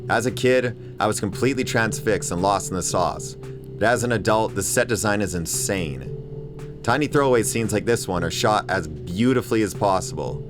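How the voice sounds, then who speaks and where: A man's voice: fairly low pitch, lively intonation, moderate pace. A man delivering an opinionated, emphatic scripted video essay as host, with casual swearing. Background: music bed under voice-over.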